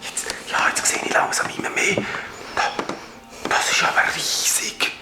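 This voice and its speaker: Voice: normal speed, close to an everyday voice. An actor voicing a timid young companion who is easily startled, speaking Swiss German in a nervous whisper. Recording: echoey with loud music.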